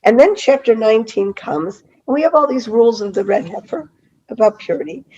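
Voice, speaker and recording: A middle-aged woman with a cold-hoarse voice teaching a Bible study over a video call, chatty and full of fillers and restarts. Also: on the high side, expressive, fairly quick, darkish tone, dull audio. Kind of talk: online Bible class lecture.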